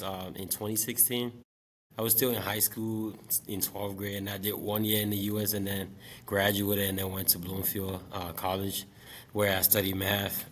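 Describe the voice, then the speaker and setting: A man in his late twenties from Liberia, speaking as an interview guest. He talks about teaching in a relaxed, reflective way, with many fillers. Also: fairly low pitch, slight background noise. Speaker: guest, special education math teacher. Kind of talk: casual interview talk.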